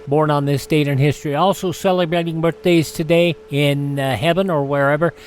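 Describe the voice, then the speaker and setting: Male radio presenter, slightly high pitch, history rundown with wry asides, faint background noise. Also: steady tone, a little fast. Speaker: radio host.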